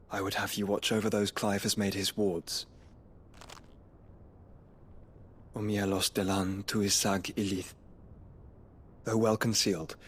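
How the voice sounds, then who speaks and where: An actor playing a scholarly young nobleman in a fantasy game, speaking formal archaic lines in a measured, soft, courtly way. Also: on the low side, breathy edge. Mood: gently firm.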